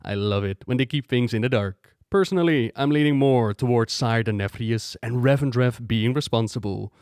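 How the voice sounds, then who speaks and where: A man's voice: mid-range voice, moderate pace, darkish tone, clear, resonant tone, no room sound. Man with a very dry, close sound. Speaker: video host. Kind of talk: gaming lore commentary.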